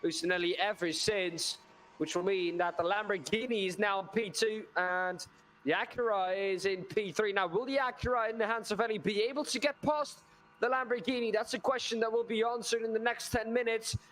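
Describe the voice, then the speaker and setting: A man's voice: high-pitched, steady tone, brisk, fairly bright tone. A man doing live commentary on a sim race, chatty and putting questions to his co-commentator.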